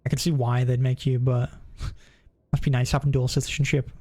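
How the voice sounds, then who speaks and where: A young man from the UK chats with viewers while playing, rambling and stopping to correct himself. His pitch sits in a plain, middle range and his tone stays jokey and loose. He is close on a desk mic. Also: level tone, normal speed, warm tone. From United Kingdom. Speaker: streamer. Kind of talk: casual chat with stream viewers while gaming.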